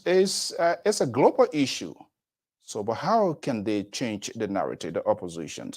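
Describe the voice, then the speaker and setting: Guy hosting a panel show, voice swoops up and down a lot, keeps restarting. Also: medium pitch. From Liberia. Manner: rambling, animated delivery with restarts. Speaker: talk show host.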